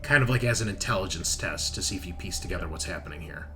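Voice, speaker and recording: A man narrating a sci-fi horror tabletop game as game master, speaking haltingly and thinking aloud with pauses. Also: sits low, expressive, over music.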